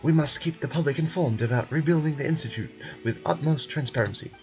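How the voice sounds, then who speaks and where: A man's voice: a little high, quick pace, a little mellow, soft background music, lightly acted. A man voicing a formal, wordy and polite research institute official in a video game. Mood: officious, earnest.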